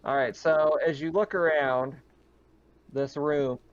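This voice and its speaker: A man's voice: on the high side, fairly even intonation, takes it slowly. A man running a tabletop horror roleplaying game as game master, moving between improvised scene narration and casual questions to players. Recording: dull, lo-fi online game audio.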